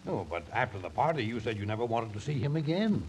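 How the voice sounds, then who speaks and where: An actor playing a pompous, wisecracking waiter who poses as a Grand Duke in 1950s radio comedy. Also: male voice, medium pitch, very animated, quick pace, darkish tone. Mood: eager, wisecracking. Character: comic blustering waiter posing as a Grand Duke. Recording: faintly noisy old radio broadcast.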